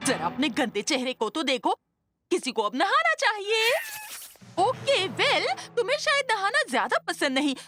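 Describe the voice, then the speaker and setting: A dotty cartoon cat lady, coos in a very high voice. Her delivery swoops up and down in a theatrical singsong over clearly audible music. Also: very animated, normal speed. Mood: doting, fussy. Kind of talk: Hindi cartoon dub acting.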